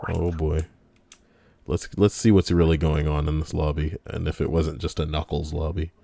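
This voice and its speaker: Sex: male. Role: streamer. Style: crude, rambling gaming banter. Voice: low. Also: flat delivery, moderate pace, gravelly, dark tone, dry recording, clean studio sound.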